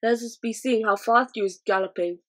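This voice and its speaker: A woman voicing a pack of rowdy male giants who shout and jeer. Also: a little high, brisk. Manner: shouting, jeering.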